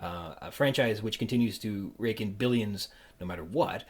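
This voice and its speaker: A man hosting a podcast interview in a casual, halting, rambling way with a playful, joking streak. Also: lowish voice, slightly rough. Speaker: podcast host interviewing a guest.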